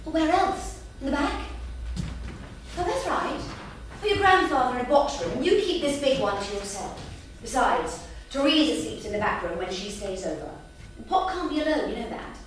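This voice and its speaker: An actress playing a brisk, sharp-tongued but doting mother in a staged reading. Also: high voice, lively intonation, a little hiss or hum. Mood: exasperated, sarcastic. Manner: brisk, switching between coaxing and scolding. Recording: very echoey theatre, distant mic.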